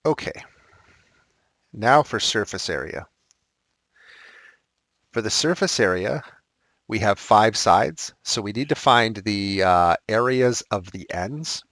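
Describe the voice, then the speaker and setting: A man teaching a recorded math lesson, thinking aloud and correcting himself as he works problems, his voice slightly raspy. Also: on the low side, expressive, takes it slowly, long pauses, a bit bright, no room sound.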